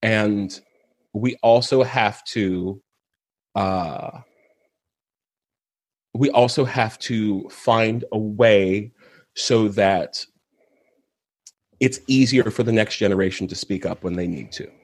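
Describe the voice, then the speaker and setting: Husky man in his thirties. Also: on the low side, slow, pauses often, dry recording. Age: 30s. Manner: earnest, laughs easily. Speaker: guest. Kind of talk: casual conversation.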